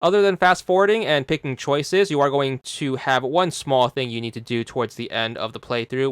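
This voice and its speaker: A man narrates a game guide in a smooth, clear voice at a middle pitch. He talks viewers through it step by step with casual, instructional phrasing. The sound is dry and close. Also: fairly bright tone, dry recording. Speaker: host of a game achievement guide. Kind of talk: scripted walkthrough narration addressed to viewers.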